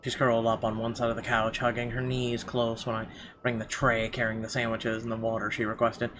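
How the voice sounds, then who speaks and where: A man's voice: medium pitch, completely flat delivery, fairly quick. A man reading a visual novel's story aloud as a gameplay host. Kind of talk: reading game narration aloud. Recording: clear background noise, game ambience.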